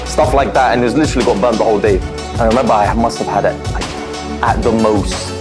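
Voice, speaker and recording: A young man from Lebanon, telling his story as a streamer, candid and animated, full of fillers. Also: fairly low pitch. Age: young adult. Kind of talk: personal interview storytelling. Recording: music bed under voice.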